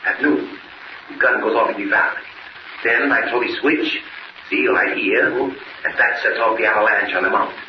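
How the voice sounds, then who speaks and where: A man's voice: fairly low pitch, normal speed, lightly acted. Radio-serial villain , male actor: Spanish accent, broken English, sly gloating schemer, muffled hissy old recording. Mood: confident, scheming. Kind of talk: 1940s radio serial acting. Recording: noisy, muffled, echoey 1940s radio recording.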